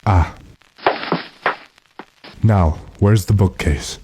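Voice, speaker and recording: An actor playing a stranded gentleman in an old-time radio drama, commanding and dryly unruffled. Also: sits low, extremely slow, heavy background noise. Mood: focused.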